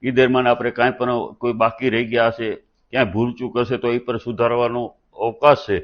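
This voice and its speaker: A man, a local party leader making an unscripted, halting statement with repeated false starts. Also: mid-range voice, level tone, lo-fi sound. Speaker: district political party president.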